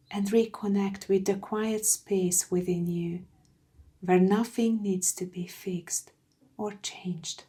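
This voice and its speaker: A woman guiding a meditation from a script, soothing and hushed, with phrases that trail into breathiness. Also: medium pitch. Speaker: meditation guide. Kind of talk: slow guided meditation, scripted.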